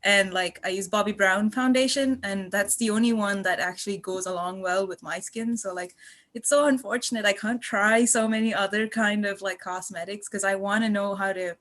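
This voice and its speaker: A woman's voice: mid-range voice, fairly even intonation, normal speed, a bit bright. A woman in her twenties from Malaysia chatting casually on a podcast, effusive and rambling as she tells her stories. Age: 20s. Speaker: podcast guest and student contributor.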